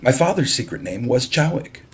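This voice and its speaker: A man narrating an audiobook in a deliberate, expressive storytelling style. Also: mid-range voice, slight background noise.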